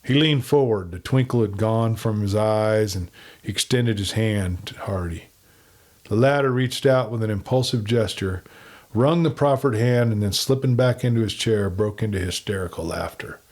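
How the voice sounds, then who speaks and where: A man's voice: lowish voice, moderate pace, darkish tone. A man reading a western story aloud as scripted audiobook narration.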